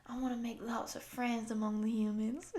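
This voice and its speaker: A woman voicing a tall, gentle, friendly cowgirl monster in her thirties, soft, affectionate and apologetic, in an intimate audio roleplay. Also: fairly high pitch, level tone, moderate pace, lightly acted. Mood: gentle, eager. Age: 30s. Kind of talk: intimate roleplay addressed to the listener.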